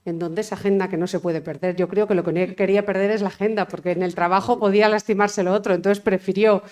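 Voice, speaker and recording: A woman's voice: mid-range voice, steady tone, quick pace, darkish tone. A middle-aged woman speaking as mayor, giving a formal address at a tribute and thanking people one by one. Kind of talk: formal speech at a tribute event.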